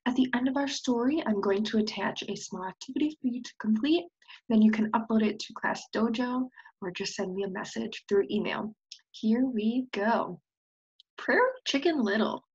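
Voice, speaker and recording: A young woman reads a picture book to small children. Her voice is high and a bit husky, expressive, with questions for the listeners. The webcam sound is slightly dull. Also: moderate pace. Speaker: preschool teacher. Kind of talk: children's storybook read-aloud. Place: indoors on a screen-share video call.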